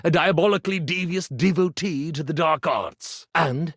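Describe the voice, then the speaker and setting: A man voicing a pompous, insecure stage magician for a video game, with grandiose, florid delivery and dramatic pauses. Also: on the high side, a bit bright, breathy edge, no room sound, studio quality. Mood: brooding, grandiose. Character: pompous theatrical iron-turned-stage-magician, melodramatic and insecure. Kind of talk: video game character acting.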